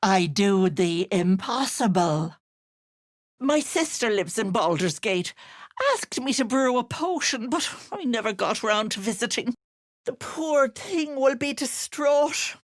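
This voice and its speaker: A woman's voice: fairly high pitch, expressive, slow, no echo, studio quality. An actress voicing an older, scheming auntie witch in a video game, with a honeyed, mocking way of talking. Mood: sweet, coaxing. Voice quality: honeyed, mocking, sly endearments. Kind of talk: game voice lines.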